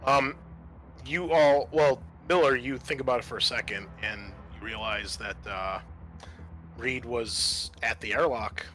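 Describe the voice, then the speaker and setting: A man's voice: mid-range voice, measured pace, pushed and bright, slight background noise, rough recording. A man narrating a tabletop game as game master, improvising hesitantly as he describes the scene. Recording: music under the voice.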